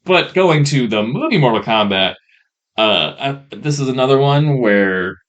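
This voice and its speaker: A man's voice: mid-range voice, lively intonation, unhurried, a bit bright, highs cut off. A man co-hosting a casual film podcast, thinking aloud in a hesitant, conversational way. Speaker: podcast co-host.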